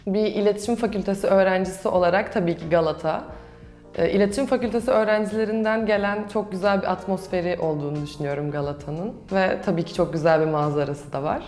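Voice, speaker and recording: A woman's voice: mid-range voice, fast talker, a little mellow. A young adult woman, a student answering questions in a promotional interview. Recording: roomy echo with music behind. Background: background music.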